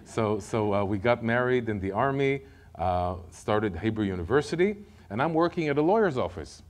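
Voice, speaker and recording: A middle-aged man with an Israeli accent tells his life story to a church congregation, lively and conversational, often stumbling over and repeating words. His voice sits fairly low, and he speaks into a podium mic in a large sanctuary. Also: moderate pace. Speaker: guest speaker. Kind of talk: personal testimony sermon.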